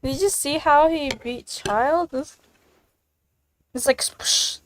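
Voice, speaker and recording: A woman's voice: sits high, slow pace, slight background noise. A woman chatting casually as she plays a game, giggly and slangy, full of exclamations. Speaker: game streamer. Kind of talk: casual gameplay reaction chat. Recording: faint game music behind.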